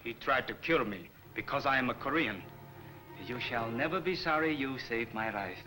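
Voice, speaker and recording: Bright, lilting, polite male valet. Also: mid-range voice, expressive, normal speed, low-quality recording. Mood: courteous, proud. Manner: formal, courteous, deferential. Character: loyal Korean valet and inventor, polite, devoted. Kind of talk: 1940s film serial acting. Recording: old film soundtrack, faint music behind.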